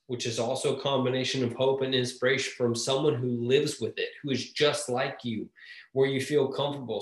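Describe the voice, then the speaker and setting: Man speaking steadily in an echoey room. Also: mid-range voice. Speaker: guest. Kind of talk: casual conversation.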